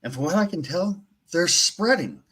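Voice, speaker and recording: A man's voice: high-pitched, animated, normal speed, bright, forceful tone, breathy edge, exaggerated voice. A man playing a sober starship doctor in a live radio-theatre reading, explaining findings in a clinical manner. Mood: clinical, grave. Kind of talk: podcast live script reading.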